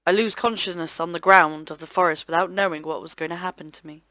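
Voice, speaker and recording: A woman hosting a let's play, reading visual novel narration aloud in an expressive, theatrical way. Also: lowish voice, quick pace, no room sound. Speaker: host of a gaming let's play channel.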